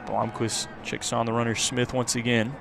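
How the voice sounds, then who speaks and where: A man's voice: medium pitch, flat delivery, moderate pace, over music. A man giving live play-by-play baseball commentary as a radio announcer. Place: outdoors at a baseball stadium. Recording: clear outdoor ambient noise.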